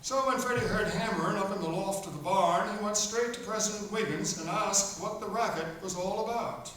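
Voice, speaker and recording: A man's voice: sits high, brisk, a bit bright. A man playing a genial author who narrates his animal stories in a scripted radio play. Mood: genial explaining. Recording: echoey hall, distant, faint noise.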